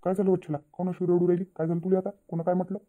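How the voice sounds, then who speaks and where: A middle-aged village father, played by a woman, fires off quick, blunt questions in a cartoon drama. His dismissive delivery sounds narrow and phone-like, as head of the household. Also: fairly low pitch, level tone, natural voice. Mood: questioning. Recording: tinny phone-like narrow audio.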